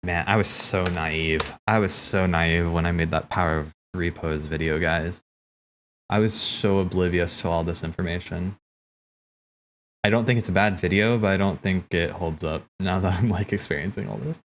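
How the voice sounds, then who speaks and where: A man streaming casual, rambling commentary on game cutscenes, thinking aloud in a halting way and repeating himself. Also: deep voice, steady tone, fairly bright tone, a little hiss or hum.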